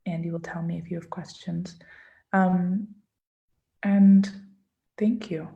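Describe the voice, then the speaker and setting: A woman presenting as an author in an informal live online talk, speaking gently and unhurriedly with pauses for emphasis over a video call. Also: on the low side, little pitch movement, creaky, muffled recording. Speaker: children's author, guest presenter.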